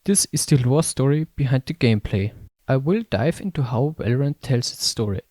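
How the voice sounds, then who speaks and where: Male video essayist: scripted game-review narration, relaxed soft tone, medium pitch, close dry recording. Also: brisk, dry recording.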